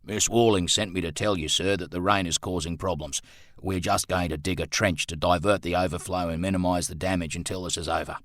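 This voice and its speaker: A man acts out a humble working man in a period storybook. The voice is low and dry. He is polite and deferential, a little awkward and hesitant. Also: fairly even intonation, fairly quick, fairly bright tone, no echo. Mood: deferential, urgent.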